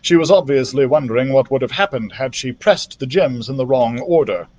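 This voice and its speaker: A man's voice: medium pitch, fairly quick, darkish tone. A man reading a children's adventure story aloud as a library storytime reader, with careful, dramatic phrasing. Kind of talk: expressive scripted storybook narration.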